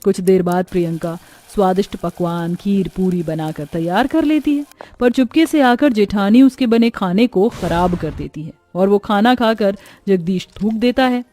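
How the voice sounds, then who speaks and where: A woman's voice: mid-range voice, moderate pace, hardly any pauses, dark tone, faint music behind. A woman giving scripted narration of a family story.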